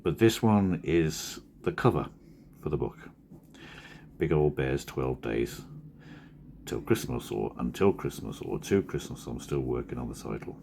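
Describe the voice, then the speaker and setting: A man with a croaky, husky voice from a cold, talking in a rambling, drowsy, self-correcting way as a cartoonist vlogger. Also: very deep voice, expressive, a little slow, dark tone, slight background noise. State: hoarse from a cold. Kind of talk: casual studio vlog talk.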